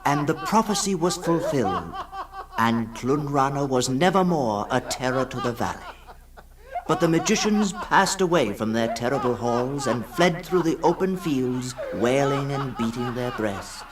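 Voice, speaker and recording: A man giving a solemn, dramatic literary reading in the style of 1960s radio, swinging between hushed and ringing tones. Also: mid-range voice, animated, measured pace, crowd noise. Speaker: storyteller, reader. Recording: 1960s radio production with music behind. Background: music.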